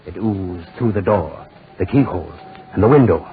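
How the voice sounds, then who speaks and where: A man's voice: deep voice, animated, moderate pace, a little hiss or hum. A man acting as an ominous, brooding narrator of a 1940s radio horror tale, building suspense through repetition. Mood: ominous, suspenseful. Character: ominous male storyteller of eerie horror tales. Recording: muffled, rough 1940s radio recording.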